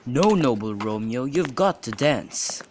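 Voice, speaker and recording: A young man in an amateur radio drama, playing a witty, teasing young gentleman and declaiming in a bantering way. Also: medium pitch, expressive, slow pace. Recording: clearly noisy amateur recording with clicks.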